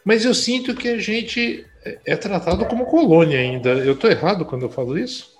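A man's voice: high-pitched, expressive, a little fast, crowd noise. A Brazilian man in an interview, talking reflectively with many hesitations and fillers. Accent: Brazilian Portuguese. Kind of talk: conversational interview.

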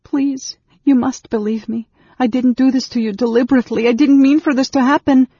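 A narrator of a fantasy audiobook voicing a frightened young female mage who pleads in a halting, stammering way. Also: mid-range voice, steady tone, warm tone, slightly rough, pitched above the performer's own voice, heavily acted. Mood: distressed, pleading. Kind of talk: dramatized fantasy audiobook dialogue. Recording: echoey, dull recording.

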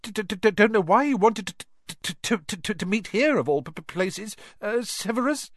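Guy doing a jittery stuttering teacher, way up high, words tripping over each other. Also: lively intonation, moderate pace, dry recording. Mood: frightened stammer. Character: nervous stammering male teacher.

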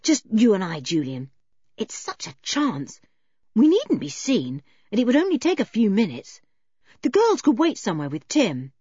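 Animated boy voiced by a woman, bold, eager, brisk, slightly husky, audiobook. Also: a little high, no room sound, dull audio, heavily acted.

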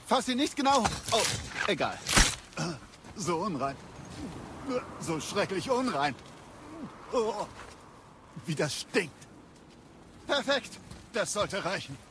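A man playing a fussy, squeamish craftsman in an audio drama, excitable and easily flustered. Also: sits high, slow, long pauses, noisy background, exaggerated voice. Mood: squeamish, urgent. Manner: stammering, fussy, agitated.

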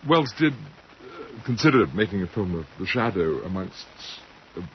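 A man giving reflective interview commentary in a halting, thoughtful way, searching for words. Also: on the low side, sing-song. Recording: dull, slightly noisy interview recording.

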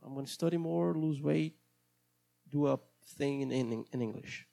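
Gravelly-voiced Brazilian man rambling casually. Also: medium pitch, normal speed, warm tone. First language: Portuguese. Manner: hesitant, rambling, many restarts. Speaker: podcast host. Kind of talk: casual solo podcast monologue.

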